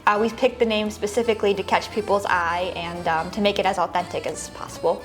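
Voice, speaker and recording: A woman's voice: medium pitch, fairly even intonation, fairly quick, faint music behind, rough recording. A woman who co-owns a bakery, answering interview questions cheerfully with light laughs and fillers. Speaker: bakery co-owner, interview guest.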